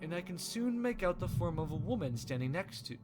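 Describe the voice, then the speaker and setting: Male playthrough host, animated higher voice, casual expressive read-aloud, music clearly behind. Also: fairly quick. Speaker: let's play host. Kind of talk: casual visual novel playthrough, reading aloud. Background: game music.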